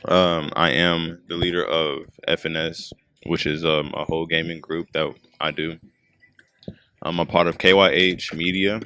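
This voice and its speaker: A man in his twenties with a deep voice, chatting casually as a podcast guest at an outdoor skate park, laid-back and rambling with lots of fillers. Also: level tone, measured pace, sharp tone, clean tone. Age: 20s. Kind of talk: casual chat. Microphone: handheld mic.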